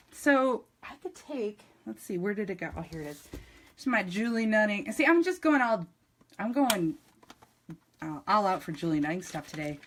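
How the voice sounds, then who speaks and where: A woman's voice: mid-range voice, fairly bright tone, slight background noise. A middle-aged woman with an upstate New York accent, chatting enthusiastically through a live craft demonstration with frequent ums and restarts. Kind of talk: live-streamed craft demo.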